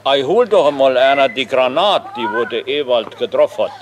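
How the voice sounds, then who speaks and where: A man's voice: medium pitch, lively intonation, normal speed, noisy background, close to an everyday voice. An actor playing a proud, talkative village father in a naturalistic radio play, with colloquial, rustic phrasing. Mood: proud, showing off.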